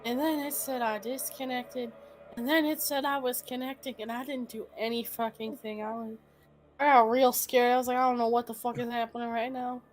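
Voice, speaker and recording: A woman's voice: fairly high pitch, level tone, a little fast, soft background music. A woman chatting over voice chat while sick and congested, complaining bluntly and swearing. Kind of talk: casual voice chat.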